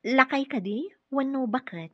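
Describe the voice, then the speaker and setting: A woman's voice: sits high, moderate pace, no echo, highs cut off. An actress playing an earnest, polite nurse and single mother in a radio drama, slightly hesitant and questioning, with a Filipino accent. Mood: hesitant, curious. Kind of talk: Ilocano radio drama acting.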